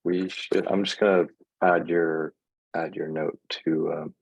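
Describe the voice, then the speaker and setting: A man's voice: a bass voice, little pitch movement, measured pace, creaky, breathy edge, dry recording, lo-fi sound. A man talking casually and agreeably in a technical online meeting, with a laid-back manner. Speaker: software working group participant. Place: online group call.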